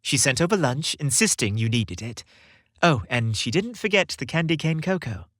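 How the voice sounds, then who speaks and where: A man's voice: mid-range voice, very animated, normal speed, a bit bright, no echo, clean studio sound. A man voicing a considerate, earnest young man in a romantic storybook tale, with a reassuring manner. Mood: admiring, warm. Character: gentle, considerate, tall young man in love.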